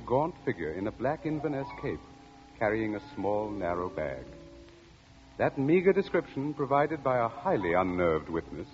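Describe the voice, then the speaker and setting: A man announcing formally and dramatically from a script in 1940s radio style, on a muffled old broadcast. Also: mid-range voice.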